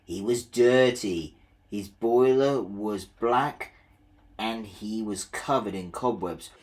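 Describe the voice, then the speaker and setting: A woman's voice: a bass voice, slow, noisy background. A woman reading a children's story aloud as a scripted narration.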